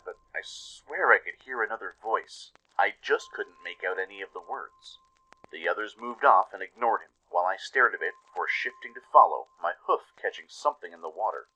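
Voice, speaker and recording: A man's voice: lowish voice, pushed and bright, husky, poor audio. A man giving dramatic scripted narration as the storyteller and announcer of a radio play.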